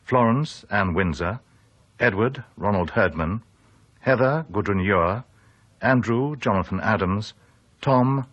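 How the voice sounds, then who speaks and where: A man announcing formally on radio, reading out credits in a measured style. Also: lowish voice, lively intonation, fairly bright tone, no echo. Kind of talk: formal cast-list announcement. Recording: slightly dull, dry radio broadcast recording.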